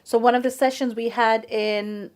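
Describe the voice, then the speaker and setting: A woman, a guest telling stories in a casual conversation, chatty and animated and acting out what people said. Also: fairly high pitch, normal speed. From England. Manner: chatty, animated storyteller who acts out quoted speech.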